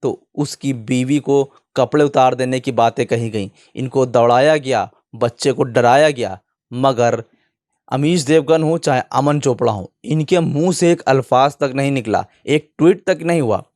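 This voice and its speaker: A man's voice: medium pitch, measured pace, a little mellow, dry recording, studio quality. A man presenting opinionated online news commentary in an indignant manner, often posing rhetorical questions.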